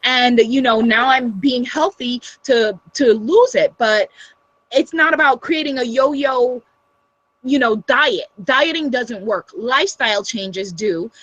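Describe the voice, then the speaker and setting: A woman's voice: a little high, slow pace, a bit bright, no room sound, dull audio. A woman with a Guyanese accent talking earnestly in a casual interview, full of restarts and "you know.". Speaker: guest.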